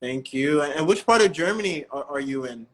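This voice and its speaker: Relaxed man hosting a live stream. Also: on the high side, moderate pace. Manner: relaxed, friendly, self-correcting. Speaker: breathwork host on a live stream. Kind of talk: casual live-stream chat with callers.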